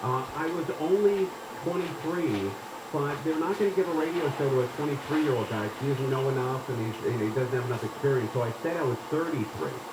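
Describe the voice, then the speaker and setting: A man's voice: mid-range voice, a little fast. A man in his forties hosting a radio talk show, chatting and joking with his guest. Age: 40s. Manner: animated, joking interviewer. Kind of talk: casual radio chat. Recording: echoey radio broadcast with faint hiss.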